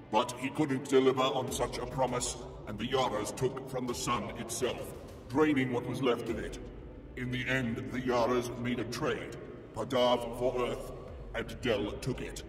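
Man voicing a reverberant, calm robotic AI. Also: medium pitch. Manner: flat, measured, emotionless automated delivery. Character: calm, obedient sentient AI security machine. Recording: long reverb, music behind.

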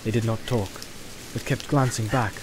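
A man reading a fantasy audiobook aloud, narrating in an even, storytelling delivery. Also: fairly low pitch, normal speed, background noise. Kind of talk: scripted narration.

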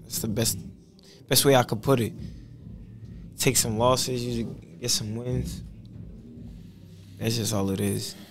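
Gravelly young rapper, laid-back. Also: male voice, mid-range voice, fairly even intonation, takes it slowly, long pauses. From Boston. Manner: laid-back slangy storytelling. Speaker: rapper guest. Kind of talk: casual podcast interview. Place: small studio with purple backdrop. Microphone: studio mic on boom arm.

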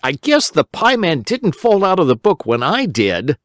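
A man's voice: on the high side, huge pitch swings, normal speed, a bit bright, no room sound, clean studio sound, voice pushed up. A man putting on a whiny simple boy. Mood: pleading. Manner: whiny, pleading, easily upset. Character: simple, hungry, round-faced young boy.

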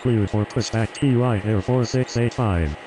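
A man's voice: fairly low pitch, a little slow. A man speaking clipped, scripted air traffic radio phraseology.

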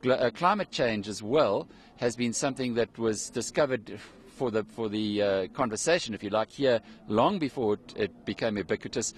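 A man's voice: mid-range voice, animated, normal speed. A middle-aged man presenting live outdoors in the snow, interviewing a guest in a conversational way with some ums. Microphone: handheld mic.

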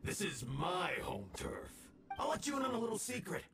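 An actor playing a scheming, taunting gang villain in a video game, gravelly and prone to panic. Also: male voice, high-pitched, very animated, normal speed, loud music behind the voice. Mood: smug, gloating. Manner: theatrical, taunting.

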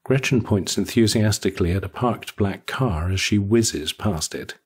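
A man reads a short story as narrator. His voice is low and very husky, and his delivery has a wry storyteller's touch. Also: normal speed. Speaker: audiobook narrator.

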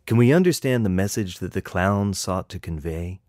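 A man reading a picture book in rhyming verse as its author, with expressive, rhythmic delivery. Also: deep voice, normal speed, dry recording, studio quality.

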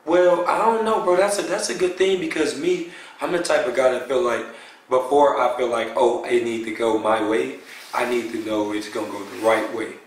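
A young man with a slightly raspy voice, talking in a loose, rambling way, as a blindfolded contestant on a blind date. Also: medium pitch, fairly even intonation, moderate pace. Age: young adult. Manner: laid-back, rambling, lots of "you know what I'm saying". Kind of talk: casual chat. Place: living room indoors. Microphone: far camera. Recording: echoey room from far off.

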